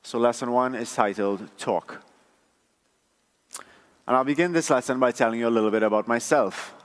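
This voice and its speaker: A man from Trinidad gives a prepared conference talk in a measured, conversational, explanatory way. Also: medium pitch. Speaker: conference speaker, front-end engineer. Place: large conference room. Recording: echoey hall, long reverb.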